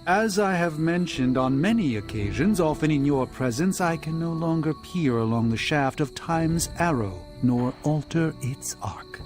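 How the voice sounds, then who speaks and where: A man's voice: fairly high pitch, lively intonation, a little slow, few pauses, darkish tone. A man voicing a haughty, eloquent sorcerer in a video game, pompous and arch. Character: haughty mystical sorcerer, eloquent, wry, condescending. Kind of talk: video game cutscene acting. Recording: music clearly behind, night insects.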